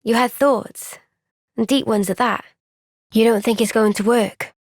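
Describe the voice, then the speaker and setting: A woman playing an earnest, gentle young female soldier in a video game cutscene, speaking with measured, sincere resolve. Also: fairly high pitch, steady tone, no echo, studio quality. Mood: thoughtful, earnest.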